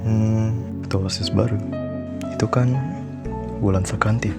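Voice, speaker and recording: Man acting as a low, flat-voiced schoolboy. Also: measured pace, creaky. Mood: understated, reflective. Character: reserved, easygoing teenage boy, ordinary student. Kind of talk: acted visual novel drama. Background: music behind the voice.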